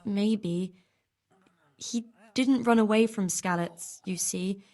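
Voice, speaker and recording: A woman's voice: medium pitch, slow pace, a little mellow, close to an everyday voice. A woman playing a weary, stern and devoted medieval nurse in a video game, blunt in manner. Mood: grave. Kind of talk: video game cutscene dialogue.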